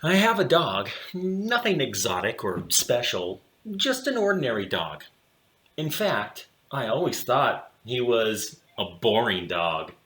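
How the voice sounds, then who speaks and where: A man reads a children's storybook in a theatrical, highly animated way. He takes his time, pausing deliberately for drama as his pitch rises and dips. The room adds a noticeable echo around his voice. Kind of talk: expressive read-aloud of a children's picture book. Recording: roomy, echoing room sound.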